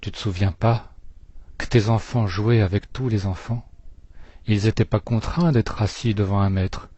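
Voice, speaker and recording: Male narrator for spoken-word poetry, low and mellow, solemn phrasing with pauses, dull close sound. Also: steady tone, slightly rough, no room sound. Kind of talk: poetic spoken-word recitation.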